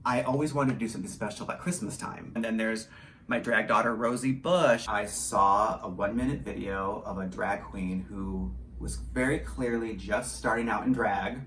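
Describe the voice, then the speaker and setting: A man's voice: fairly low pitch, moderate pace, a bit bright. A man chatting casually in an interview about his creative work, talkative and self-deprecating, with some swearing. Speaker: audio drama writer and director. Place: small home studio, indoors. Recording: roomy echo with faint background noise.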